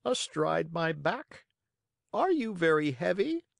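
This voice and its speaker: A storyteller voicing a kindly, helpful talking pigeon in a high voice with a measured, gently instructive manner. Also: very animated, gravelly, no room sound, studio quality, heavily acted. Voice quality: high-pitched.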